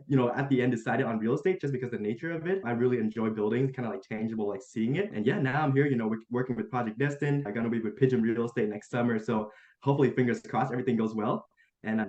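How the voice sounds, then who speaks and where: A young man from Vietnam talks quickly and keeps things friendly and upbeat, often calling his host "man." His voice comes through a dull, muffled video call line that carries a fair bit of room echo. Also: mid-range voice, darkish tone. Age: young adult. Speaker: guest, college student. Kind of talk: casual interview chat.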